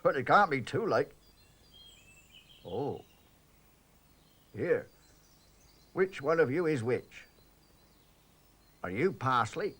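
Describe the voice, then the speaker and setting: A man voicing a pompous, bumbling rural police constable in slow countrified dialect. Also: mid-range voice, animated, fairly bright tone, husky, exaggerated voice. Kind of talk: 1960s children's TV animation voice.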